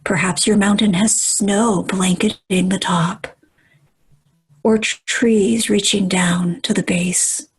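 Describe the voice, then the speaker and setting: Slow, breathy woman guiding meditation. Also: medium pitch, rough recording. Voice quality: soft, breathy, at times near whisper. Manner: calm, soothing, measured phrases with long pauses. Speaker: meditation guide. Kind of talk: guided meditation.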